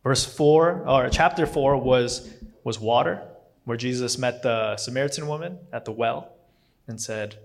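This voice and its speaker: A man's voice: medium pitch, unhurried, vocal fry. Man preaching a loose, chatty sermon. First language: not English. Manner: rambling, self-correcting, with frequent fillers and restarts. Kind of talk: casual conversational sermon.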